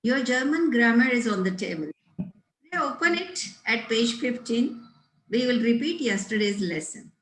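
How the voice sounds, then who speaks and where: A woman's voice: on the high side, animated, measured pace, a bit bright. A woman playing a prim, pompous older governess in a table read of a Victorian comedy, formal, didactic and scolding. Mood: stern, instructive. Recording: roomy, echoey home recording.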